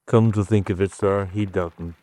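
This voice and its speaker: An actor playing a dutiful gentleman's manservant, formal and deferential with a droll streak, in an old-fashioned radio drama. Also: low voice, fairly even intonation, normal speed, close to an everyday voice. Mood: startled. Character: dutiful, dryly gossipy British gentleman's manservant.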